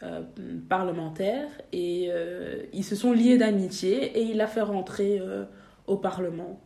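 A woman's voice: medium pitch, unhurried, creaky, mellow, echoey room. A young woman from Belgium, a podcast guest talking casually, hesitating with many fillers and restarts. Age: young adult. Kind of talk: casual interview.

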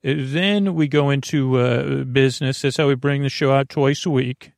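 A man hosting a bedtime podcast in a creaky, gravelly voice, rambling in a halting, meandering way and drifting into tangents mid-sentence. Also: mid-range voice, steady tone, no room sound, clean studio sound. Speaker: podcast host. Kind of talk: rambling bedtime monologue for falling asleep.

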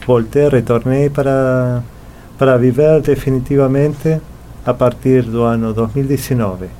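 A man's voice: medium pitch, steady tone, a little slow, warm tone, slight background noise. A middle-aged man from Argentina, a native Spanish speaker with an Argentine accent, answering as an artist in a conversational interview. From Buenos Aires, Argentina. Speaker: interview guest, artist and sculptor.

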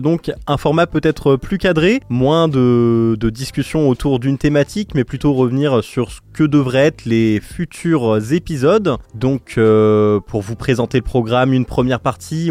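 Male podcast host, halting delivery. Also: mid-range voice, a little mellow. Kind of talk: casual podcast presenting.

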